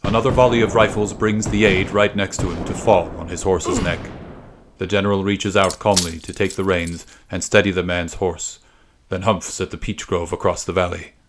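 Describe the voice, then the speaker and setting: A man narrating a story from a script as a podcast reader, in a measured, suspenseful, dramatic style. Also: low-pitched, flat delivery, slight background noise.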